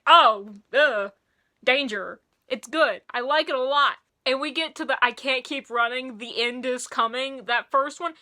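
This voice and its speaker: A woman with a high, sharp and bright voice hosts a casual song review, talking straight to the camera. She is losing her voice, and she gushes excitably, often tripping over and restarting her sentences. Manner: gushing and excitable, with frequent restarts. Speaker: music reviewer and video host.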